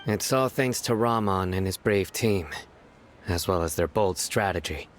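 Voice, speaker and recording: A man playing a serious, reserved commander in an anime game, speaking in a measured, formal, grave manner. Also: sits low, a little hiss or hum. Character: serious, reserved young male commander, steady and terse.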